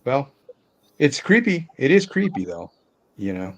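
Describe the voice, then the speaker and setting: A man chatting casually as a panelist on a film discussion, in a halting way with phrases that trail off. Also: mid-range voice, big pitch swings. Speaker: podcast panelist discussing films. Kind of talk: casual chat.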